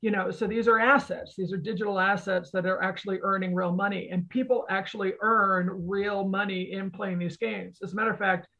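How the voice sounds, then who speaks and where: A woman explains things as a guest expert in an informal, emphatic style. Her voice has plenty of creak and fry. The recording is somewhat dull and lo-fi. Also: medium pitch, steady tone, fairly quick, few pauses, a bit bright. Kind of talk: conversational explanation.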